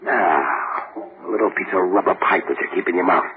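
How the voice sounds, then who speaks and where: An actor playing a streetwise death-row convict who talks in tough slang, in old radio drama style. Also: male voice, low voice, fairly quick, close to an everyday voice. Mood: scheming. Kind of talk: 1940s radio acting. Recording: tinny hissy old radio broadcast.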